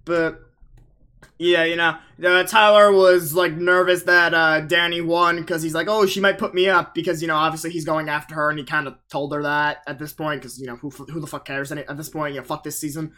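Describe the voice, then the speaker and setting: A man's voice: a little high, little pitch movement, a little fast, sharp tone. A young man co-hosting a casual recap, rambling and swearing, slipping into mocking impressions. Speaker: podcast co-host.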